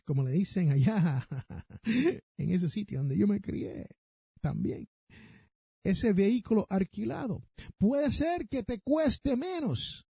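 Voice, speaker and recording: Puerto rican guy doing a podcast, slow and emphatic, mellow raspy voice, bits of english thrown in. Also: high voice, dry recording, clean studio sound. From Puerto Rico. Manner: emphatic, rhetorical questions, addresses listeners directly; mixes in English terms. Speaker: personal finance podcast host. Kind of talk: podcast monologue giving money advice.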